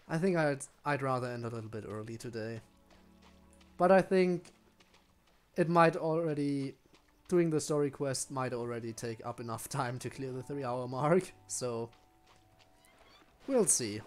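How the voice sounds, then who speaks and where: A man giving casual live commentary while he streams a video game. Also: medium pitch.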